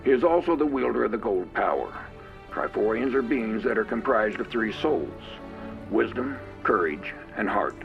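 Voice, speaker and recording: A man narrating an audio drama from a script in an even, descriptive way. His voice sits on the low side, with faint music underneath. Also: normal speed, gravelly, highs cut off.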